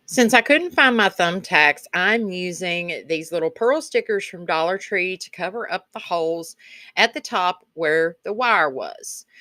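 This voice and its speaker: Bright-voiced Kentucky country woman, craft host. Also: lowish voice, dry recording. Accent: rural Kentucky Southern. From Kentucky, rural United States. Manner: folksy, chatty. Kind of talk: casual craft tutorial voice-over.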